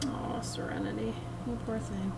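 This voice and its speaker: A young woman with a raspy voice, chatting in a chill, laid-back way with viewers while drawing on a livestream, sniffing now and then. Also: fairly high pitch, normal speed, recorded from a distance, over loud music. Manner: chill, relaxed, sniffing often. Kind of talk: casual chat with stream viewers while drawing. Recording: steady background noise.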